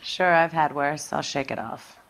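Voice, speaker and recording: A woman's voice: deep voice, unhurried, a bit bright, slight background noise. A woman playing a brassy, hard-bitten young American dame in a 1940s crime game, blunt, slangy and evasive. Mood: defiant, evasive. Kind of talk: video game acting, period crime drama. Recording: music behind the voice.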